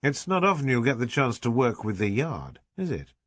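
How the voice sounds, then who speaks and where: A man's voice: medium pitch, sing-song, normal speed, a bit bright, dry recording, pitched above the performer's own voice. A man voicing a patient police detective, coaxing a child with friendly persuasion. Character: affable Scotland Yard detective, coaxing and patient.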